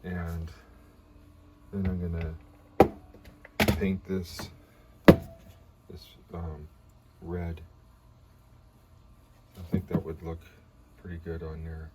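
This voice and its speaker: A man giving a casual hands-on demonstration, halting and unhurried as he thinks aloud. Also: deep voice, little pitch movement. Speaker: vlogger restoring an old radio. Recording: clear background noise.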